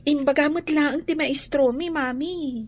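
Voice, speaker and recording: A very high, girlish voice from an adult woman with a Filipino accent. She is a devoted, earnest schoolgirl in a radio drama, speaking tenderly as a loving sister. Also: measured pace. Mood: eager. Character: caring, earnest schoolgirl devoted to her blind brother. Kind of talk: Ilocano audio drama acting.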